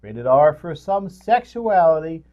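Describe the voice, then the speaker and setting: A man's voice: a little high, very animated, a little slow, highs cut off. An older man co-hosting a casual movie-review chat in a rambling way. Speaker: co-host.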